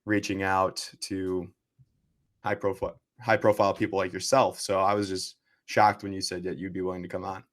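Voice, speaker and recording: A man's voice: low-pitched, flat delivery, moderate pace. Eager young male student host. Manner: eager, hesitant with fillers. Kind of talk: casual interview conversation.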